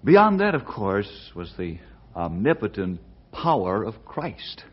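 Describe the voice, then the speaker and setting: Kindly ranger storyteller, low husky voice, animated intonation, steady and earnest, dull old radio sound. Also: male voice, takes it slowly, heavily acted. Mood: earnest, storytelling. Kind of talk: old-time radio drama acting and narration.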